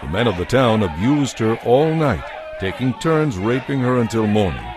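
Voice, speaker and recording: A man narrating a dramatized Bible as a scripted story, in a measured storytelling manner. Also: mid-range voice, sing-song.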